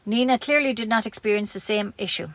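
A woman's voice: medium pitch, brisk, dry recording. A woman with an Irish accent reading scripted local news as a community radio newsreader.

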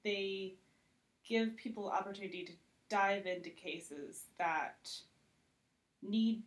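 A woman's voice: mid-range voice, slow. A woman guest on a podcast, talking casually and emphatically, with lots of "like" in her speech. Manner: informal, emphatic, frequent "like". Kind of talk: casual chat.

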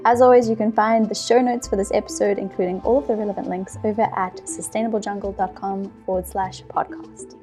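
A woman's voice: mid-range voice, steady tone, fairly quick, hardly any pauses, music in the background. Woman hosting a podcast interview. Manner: scripted intro, then friendly questions. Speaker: podcast host.

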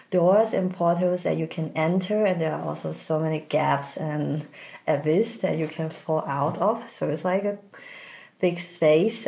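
A woman from Taiwan, a native Mandarin speaker with a Taiwanese accent, talking reflectively as an interview guest and pausing to search for words. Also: on the low side, mellow. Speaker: podcast guest, dance artist.

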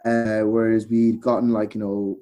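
A man's voice: on the low side, little pitch movement, normal speed, mellow, faint music behind. A man with an Irish accent, chatting casually as a podcast guest and retelling events with quoted bits of dialogue. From Ireland. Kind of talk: casual chat, storytelling.

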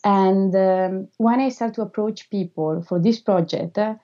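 A woman in her thirties from Italy with an Italian accent, answering interview questions as a filmmaker, pausing often with filler sounds and non-native phrasing. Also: medium pitch, mellow, muffled recording.

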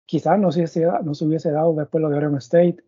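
A man hosts a sports interview, circling his questions with fillers and restarts. His voice is very dark and husky. The recording is dry and a little muffled. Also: on the high side, fairly even intonation, fast talker, dry recording. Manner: thinks aloud with many fillers and restarts. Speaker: podcast host. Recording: dull, muffled audio.

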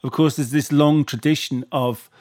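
A man interviewer putting a question in a halting, conversational way. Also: a little high, steady tone, darkish tone. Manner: hesitant, halting phrasing.

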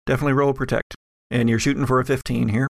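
A man with noticeable fry in the voice narrates a horror tabletop game as its game master. The delivery is improvised and halting, and the sound is close and dry. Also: medium pitch, level tone, no room sound. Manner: halting, thinking aloud as they narrate.

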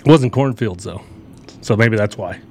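A man's voice: on the low side, normal speed, a little hiss or hum. A man from Iowa chatting casually as a podcast guest, joking and sarcastic. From Iowa, United States. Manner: jokey, sarcastic.

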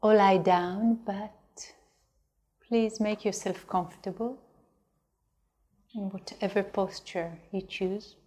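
Very slow-speaking woman guiding meditation. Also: mid-range voice, steady tone. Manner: gentle, unhurried delivery with long pauses. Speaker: meditation teacher. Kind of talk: guided meditation.

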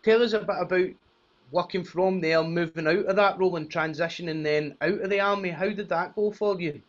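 A man's voice: high voice, fairly even intonation, brisk, lo-fi sound. A man hosting a podcast, giving short upbeat prompts in casual interview style. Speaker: podcast host.

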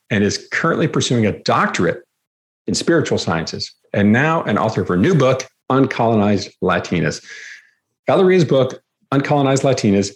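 A man hosting a podcast, reading a scripted introduction and then interviewing a guest. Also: mid-range voice. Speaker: podcast host.

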